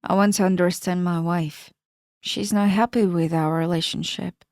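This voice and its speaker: A woman's voice: fairly low pitch, fairly even intonation, moderate pace, darkish tone, no echo, clean studio sound, natural voice. A woman reading the lines of a polite, well-read married man in a storybook narration, with little change from her own voice. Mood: pleading.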